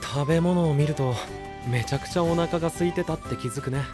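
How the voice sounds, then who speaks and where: A man's voice: a little high, brisk, over music, natural voice. A male voice actor playing a kind, curious, easygoing young man in an anime-style audio drama, with a casual, friendly manner.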